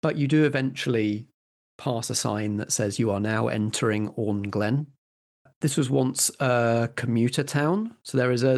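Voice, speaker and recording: Creaky-voiced man running a tabletop game. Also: fairly low pitch, a little mellow. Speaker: game master. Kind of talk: narrating a tabletop roleplaying game.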